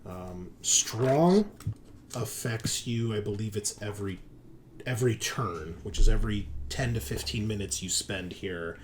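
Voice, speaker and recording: Low, slow-spoken man as game master. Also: animated, a little hiss or hum. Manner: halting, improvised scene description. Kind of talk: narrating a tabletop roleplaying game. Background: background music.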